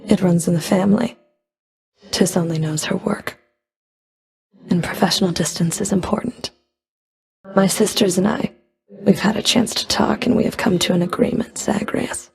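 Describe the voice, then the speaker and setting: A woman's voice: medium pitch, moderate pace, creaky, long pauses, poor audio. A woman playing a stern, scornful Fury of the underworld in a video game, speaking in a cool, clipped, disdainful manner. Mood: guarded, stern. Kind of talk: video game combat and dialogue voice lines.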